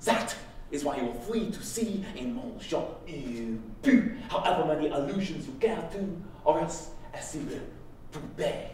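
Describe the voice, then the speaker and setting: A man playing an eccentric French playwright, giving a pompous, halting curtain speech in a live theatrical performance. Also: on the high side, lively intonation, a little mellow. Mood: pompous, absurd. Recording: very echoey room, distant mic, faint noise.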